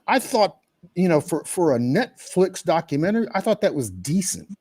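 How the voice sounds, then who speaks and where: Mellow-voiced man hosting a talk show. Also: sits high, sing-song, slightly rough. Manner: halting, many fillers. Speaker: co-host. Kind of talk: casual political chat.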